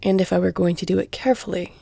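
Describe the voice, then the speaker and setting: Close and very dry, this is a seductive vampire lady performed by a woman for an audio roleplay. Her voice is dark and mellow with a gravelly creak, often sinking toward a whisper. She speaks formally and deliberately, teasing as she goes. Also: fairly low pitch, fairly even intonation, no room sound, full character voice. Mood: seductive, hesitant. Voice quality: breathy, hushed, often near whisper. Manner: slow, deliberate, formal, teasing, breathy delivery. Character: flirtatious, seductive, elegant female vampire. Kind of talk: intimate audio roleplay, scripted.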